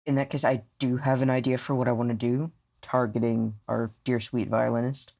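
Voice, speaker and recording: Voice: medium pitch, level tone, a little fast, creaky, mellow. A player in a tabletop roleplaying podcast, talking casually and informally with some swearing. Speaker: player in an actual-play podcast.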